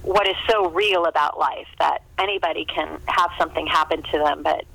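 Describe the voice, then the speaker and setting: A woman speaks as a guest in a casual interview about writing. Her voice is bright and forward, recorded dry with little room sound. She rambles chattily and slips into quoting imagined lines. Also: low voice, fairly even intonation, normal speed, creaky, no room sound, poor audio.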